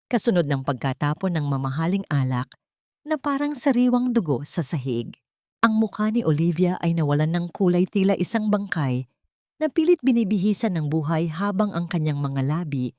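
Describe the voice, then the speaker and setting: A woman narrating a scripted story in a solemn, deliberate, dramatic manner. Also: on the low side, a little mellow, no room sound, studio quality.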